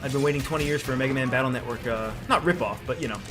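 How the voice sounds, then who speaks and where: Man, fast pace, casual inquisitive gameplay chat, music behind. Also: medium pitch. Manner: inquisitive, reacting. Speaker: gaming co-commentator. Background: game music.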